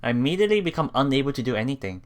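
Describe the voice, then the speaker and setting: A woman's voice: rumbling low, sing-song, rapid-fire. A woman hosting a let's play, reading visual novel text aloud and reacting with giggly asides. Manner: giggly, reactive asides between read lines.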